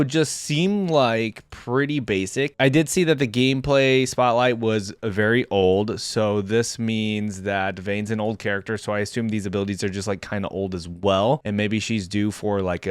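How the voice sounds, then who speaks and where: A man gives casual reaction commentary in an enthusiastic, fannish way. His voice sits at an average male pitch. The sound is dry and close, with hardly any room on it. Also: smooth, no echo. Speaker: reaction video host.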